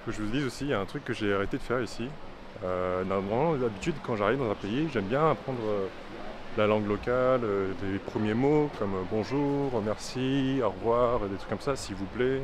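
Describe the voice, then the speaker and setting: Chatty young man over loud street noise. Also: on the low side, brisk. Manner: chatty, many fillers and restarts. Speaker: travel vlogger. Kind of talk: casual travel vlog. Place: busy city street outdoors. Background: loud traffic and street noise.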